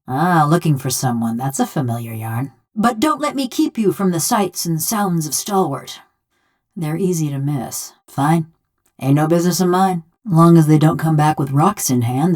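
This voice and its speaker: A woman's voice: low voice, darkish tone. A woman voicing a game character, a vengeful, wry bronze construct with a village woman's soul, speaking in a folksy, rustic, sardonic drawl. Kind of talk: video game character dialogue.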